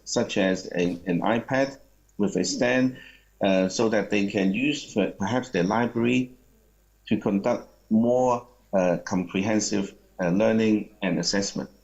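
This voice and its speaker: Low-voiced man with a muffled sound. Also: a little mellow. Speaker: company speaker answering audience questions. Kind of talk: question-and-answer at a seminar.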